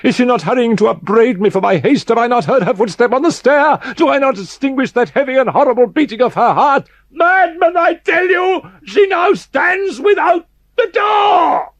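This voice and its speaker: Gothic audiobook role: tormented madman, husky, pitched well up, theatrical and full of guilty dread. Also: lively intonation, moderate pace, no room sound, full character voice. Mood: frenzied terror. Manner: tormented, theatrical, repeating words, building to shrieks. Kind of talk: gothic horror audiobook character acting.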